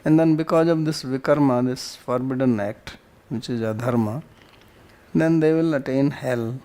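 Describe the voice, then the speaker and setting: A man's voice: mid-range voice, moderate pace, dark tone. A man with an Indian accent teaching a scripture class, reciting Sanskrit and explaining it in short phrases.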